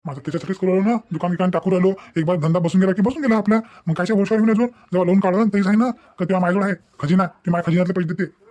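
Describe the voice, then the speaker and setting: Cartoon young village husband, played by a man: high voice, extremely fast, blunt, exasperated, rural Vidarbha Marathi accent. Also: fairly even intonation, darkish tone, close to an everyday voice. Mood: irritated. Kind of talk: Marathi cartoon family-drama dialogue.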